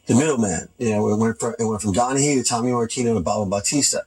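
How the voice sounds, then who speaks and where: A man chatting casually as an interview guest, halting and often repeating his phrases. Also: mid-range voice, darkish tone, slightly rough, low-quality recording. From Hoboken, New Jersey. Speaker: film producer, interview guest. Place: TV studio.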